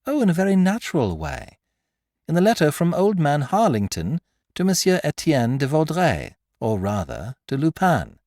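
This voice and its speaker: A man voicing an excitable young male amateur detective, brash and mocking as he shows off his discovery. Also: fairly high pitch, normal speed, no echo, clean studio sound, pitched above the performer's own voice. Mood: explaining.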